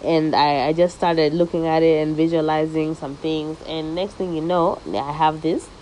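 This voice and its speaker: Young woman podcast host, fairly low voice, loose and lively, sprinkles in Swahili slang. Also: normal speed, slight background noise, low-quality recording. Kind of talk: casual solo podcast monologue. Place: living in the United States.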